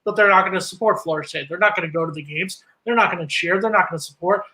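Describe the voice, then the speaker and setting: A man co-hosting a casual sports chat, ranting sarcastically in a high voice. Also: very fast, sharp tone, rough recording. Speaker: co-host.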